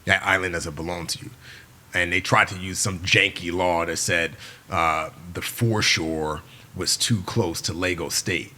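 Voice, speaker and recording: Deep, bright-voiced man telling stories. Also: measured pace. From Nigeria. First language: Yoruba. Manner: animated storyteller who acts out quoted people. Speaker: podcast guest. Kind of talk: storytelling in a long-form interview.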